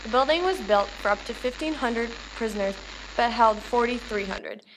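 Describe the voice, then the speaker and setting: A woman acting as a 1930s radio newswoman with a fairly high voice reads scripted bulletins. The sound rings with reverb over faint background noise. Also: moderate pace, natural voice. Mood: earnest. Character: 1930s radio newswoman reporting national, sports, world news.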